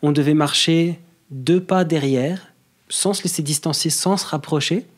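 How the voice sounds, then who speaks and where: A man, talking as a guest on a podcast in a relaxed, hesitant way, with many fillers and restarts. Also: on the high side, a little slow. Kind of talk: casual conversation.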